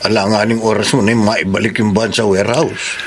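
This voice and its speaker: A man's voice: fairly low pitch, little pitch movement, fairly quick, natural voice. Man voicing a blunt accomplice, plain mid voice, faint hiss behind. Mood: relieved. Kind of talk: classic radio drama acting. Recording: radio drama with faint noise.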